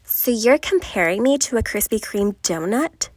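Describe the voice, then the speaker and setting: A woman playing a lovelorn, frustrated passenger venting confessionally in an animated series. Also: on the high side, moderate pace, no echo. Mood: questioning.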